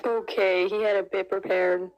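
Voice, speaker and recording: A woman's voice: mid-range voice, flat delivery, moderate pace, muffled recording, lightly acted. A woman voicing a sarcastic, weary circus performer. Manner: dry and sarcastic. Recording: noisy home recording.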